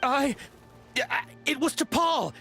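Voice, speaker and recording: High, bright, cowardly henchman. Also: lively intonation, slow, full character voice. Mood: nervous, pleading. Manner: stammering, pleading, hesitant. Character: cowardly, panicky young male henchman under interrogation. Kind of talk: animated video game voice acting. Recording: music playing behind the voice.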